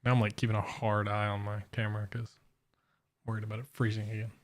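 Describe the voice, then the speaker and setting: A man's voice: lowish voice, flat delivery, fast talker. A man giving casual, wry live commentary while playing a game on stream. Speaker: game livestreamer.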